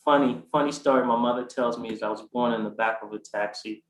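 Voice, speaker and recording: A man in his forties from Ghana, a guest describing his life in a halting way, with many fillers and restarts. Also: mid-range voice, fairly even intonation, echoey room. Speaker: art collector guest. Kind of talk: conversational interview answer.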